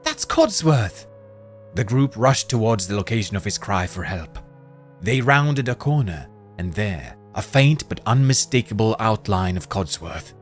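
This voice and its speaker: A man narrating a spooky fantasy story in a dramatic, expressive scripted reading. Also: lowish voice, moderate pace. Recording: music playing under voice.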